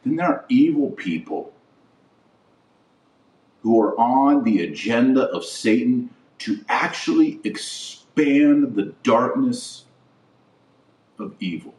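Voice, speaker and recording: Slow, emphatic man preaching in a roomy echo. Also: mid-range voice, lively intonation, a little mellow, far from the mic. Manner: indignant, emphatic, preachy, with drawn-out stressed words. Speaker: podcast host and religious commentator. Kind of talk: opinionated religious commentary to camera or podcast audience.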